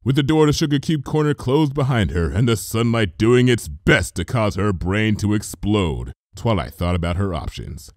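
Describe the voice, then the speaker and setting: A man reading fan fiction aloud as expressive, dramatic story narration. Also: on the low side, moderate pace, hardly any pauses, no room sound, studio quality.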